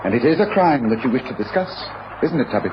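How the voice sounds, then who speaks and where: A man playing a keenly observant English gentleman detective in a radio drama, brisk, probing and precise. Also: mid-range voice, animated, lightly acted. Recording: muffled, noisy old radio recording.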